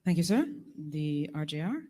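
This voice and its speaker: A woman's voice: deep voice, expressive, moderate pace, warm tone. A woman moderating a press conference, thanking speakers and calling on the next reporter in a brief, procedural way.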